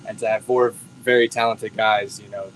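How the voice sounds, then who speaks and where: An American man, the band's drummer, speaking humbly and softly in a casual interview. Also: fairly low pitch, level tone, normal speed, slight background noise, rough recording. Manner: humble and grateful, soft-spoken. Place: bowling alley venue.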